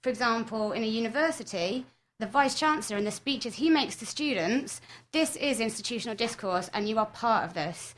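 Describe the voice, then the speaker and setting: A woman's voice: on the high side, expressive, fairly quick, a little hiss or hum. Young female student presenter, earnest scripted radio read. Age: young adult. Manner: earnest, reads from script, addresses listeners.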